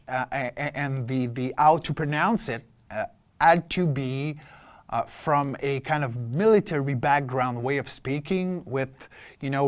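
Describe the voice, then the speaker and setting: Halting man explaining in an interview. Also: fairly high pitch. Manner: halting, repeats words as he searches. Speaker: vocal performer and creature-voice specialist.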